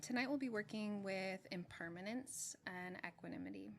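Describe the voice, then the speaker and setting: A woman meditation teacher leading a guided meditation in a gentle, measured way, with long pauses between short phrases. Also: mid-range voice. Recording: clearly noisy with room ambience.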